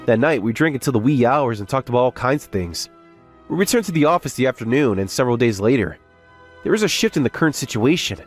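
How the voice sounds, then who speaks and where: A man's voice: medium pitch, lively intonation, fast, soft background music, natural voice. A man playing an earnest young office worker who narrates and voices his story in a manga dub. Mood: calm narrating. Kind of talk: scripted first-person manga recap narration and dialogue.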